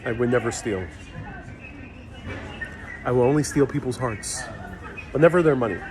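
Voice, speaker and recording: A man's voice: mid-range voice, moderate pace. A man from New York City hosting a casual live travel Q&A, reading viewer comments aloud and answering in an easygoing, joking way. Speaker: travel livestream host. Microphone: phone livestream mic. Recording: crowd noise around a phone stream. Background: crowd chatter.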